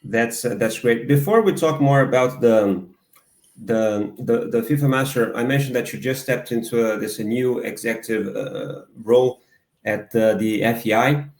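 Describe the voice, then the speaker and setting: A man hosting an interview, asking questions in halting, hesitant phrases full of fillers. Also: medium pitch. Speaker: podcast host. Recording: echoey room.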